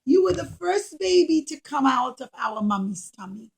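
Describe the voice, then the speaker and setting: A woman's voice: sits high, sing-song, normal speed. Woman as an earnest, studious younger brother. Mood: earnest, bargaining. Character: studious, firm younger twin brother, a big boy.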